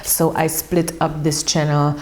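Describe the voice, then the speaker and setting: A woman with a fairly low voice hosts a casual tarot reading in English. She talks in a chatty, earnest way and often restarts sentences. She repeats words for emphasis. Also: level tone, measured pace, a little mellow, slight background noise, rough recording. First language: German. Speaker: tarot reader and channel host. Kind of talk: casual tarot reading while shuffling cards.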